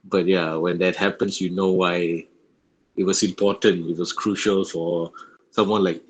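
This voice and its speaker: A man's voice: low-pitched, little pitch movement, measured pace. A man, a voice actor chatting casually about his work in a roundtable. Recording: clearly noisy background.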